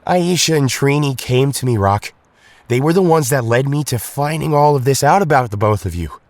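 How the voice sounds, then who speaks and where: A man's voice: mid-range voice, expressive, moderate pace, no echo, lightly acted. A man playing a stern young superhero team leader in an audio drama, reproachful and emphatic toward a friend. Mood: stern, disappointed. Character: Tommy, stern young male Power Ranger leader, disappointed friend.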